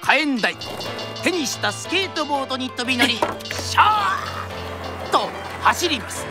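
Woman, theatrical kodan storyteller, formal and dramatic, lively swooping intonation, music behind. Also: high-pitched, measured pace. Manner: dramatic, formal polite phrasing. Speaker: storyteller narrator.

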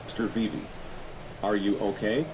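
A man voicing a gruff, dutiful, suspicious knight with a blustering, formal manner in a fantasy game fan dub. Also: on the low side, steady tone, normal speed, muffled recording, background noise, natural voice. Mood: alarmed. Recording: loud game music behind the voice.

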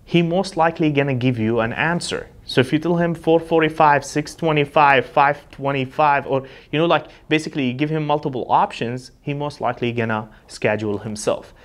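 A man's voice: fairly high pitch, fairly quick, few pauses. Man giving an emphatic sales training talk. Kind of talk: instructional talk with acted example dialogues. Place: indoor studio with backdrop.

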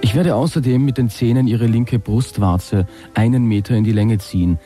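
A man giving a deadpan comic reading, with some casual chat around it. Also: medium pitch, moderate pace, faint music behind. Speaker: comedian reading his own comic story.

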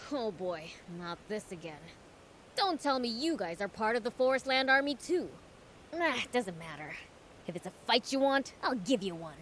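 A woman's voice: fairly high pitch, very animated, normal speed, a bit bright, a little hiss or hum. A woman playing a small, cocky young male demon prince in a video game, brash and boastful. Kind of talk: video game cutscene acting.

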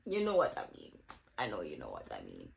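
A woman, chatting casually to camera at home in a relaxed, laughing way, filmed on a phone. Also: rumbling low, gravelly. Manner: relaxed, laughing, slow and easygoing. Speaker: vlogger. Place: bedroom and bathroom of a home. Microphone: phone camera held close.

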